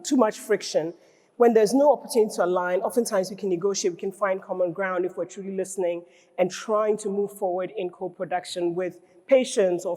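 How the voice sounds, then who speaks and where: A woman's voice: medium pitch, dark tone. A middle-aged woman from Ghana giving a conference keynote talk, thoughtful and restarting phrases as she gathers her thoughts. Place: large conference hall. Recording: long hall reverb from the stage.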